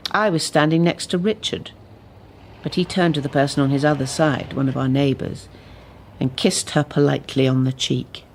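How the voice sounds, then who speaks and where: A woman's voice: low-pitched, fairly even intonation, moderate pace, a little mellow, slight background noise. An actress playing a reflective woman who looks back ruefully in a radio drama. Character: reflective woman looking back ruefully on her troubled marriage. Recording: noticeable background ambience.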